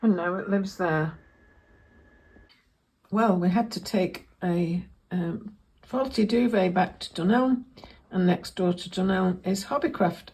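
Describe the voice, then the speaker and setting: Croaky woman chatting while sewing. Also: on the low side, takes it slowly, a little mellow, rough recording. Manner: chatty, self-correcting, thinks aloud while working. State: croaky voice, coughing. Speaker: sewing vlogger. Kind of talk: casual sewing vlog narration.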